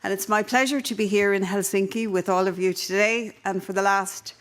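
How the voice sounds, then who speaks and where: A woman's voice: mid-range voice, fairly even intonation, brisk. Irish woman moderating a conference panel. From Ireland. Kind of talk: introductory remarks at a conference. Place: conference session.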